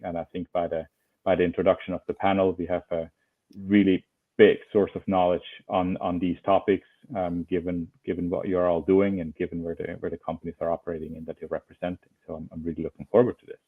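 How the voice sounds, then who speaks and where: A man from Switzerland moderating an online panel over a video call, relaxed and often repeating words while he finds his phrasing. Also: low-pitched, quick pace, breathy edge. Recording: tinny phone-like call audio.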